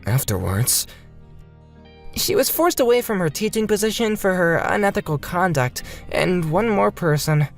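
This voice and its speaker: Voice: medium pitch, very animated, normal speed, gravelly, soft background music. A narrator reading a scripted manga dub story in a dramatic storytelling style.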